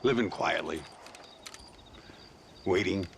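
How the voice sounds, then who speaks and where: An aging American gunfighter for a game scene. His voice is deep and full of gravel, unhurried and wistful. There is faint outdoor noise behind him. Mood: weary, wistful. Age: older. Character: weary old gunfighter, once famous, dry and wistful. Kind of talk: video game cutscene acting.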